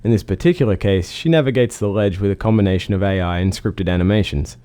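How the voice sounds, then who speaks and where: Quick-talking, mellow, lowish-voiced man. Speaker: game developer. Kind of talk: scripted developer commentary.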